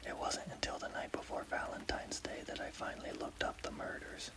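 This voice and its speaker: A man narrating a scary story as ASMR in a breathy, unvoiced whisper. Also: a little fast.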